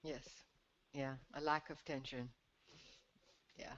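A woman's fairly low voice comes through slightly dulled call audio. She hosts conversationally, murmuring agreement and checking in with short tag questions. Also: flat delivery, slow pace, dull audio. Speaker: call-in show host.

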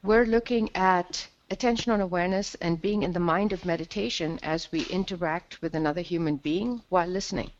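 A woman with a slightly low voice hosts a call-in, reading out introductions in a procedural, scripted style. The audio is a little dull and lo-fi. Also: a little fast, few pauses, slight background noise. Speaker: host introducing callers.

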